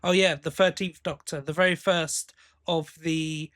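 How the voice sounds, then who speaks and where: A man's voice: on the high side, steady tone, measured pace, fairly bright tone. A man co-hosting a podcast, chatting casually in a hesitant, rambling way with tag questions. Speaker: podcast co-host. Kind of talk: casual chat about audio drama releases.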